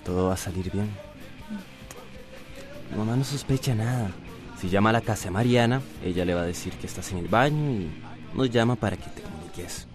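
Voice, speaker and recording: A persuasive, impatient young boyfriend played by a male actor in a radio drama. He coaxes in a fairly low voice with a Costa Rican accent, over clear music. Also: a little fast, close to an everyday voice. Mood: coaxing. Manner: coaxing.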